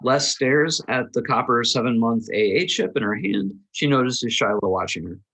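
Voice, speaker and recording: A man's voice: medium pitch, normal speed, bright, forceful tone, poor audio. A man narrating the stage directions of a screenplay at a table read, in a scripted style.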